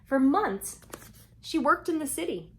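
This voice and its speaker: A woman's voice: high-pitched, measured pace. Woman reading to children, noisy background. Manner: expressive, asks young listeners questions. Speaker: children's storybook reader. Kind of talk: read-aloud storytelling for kids with conversational asides.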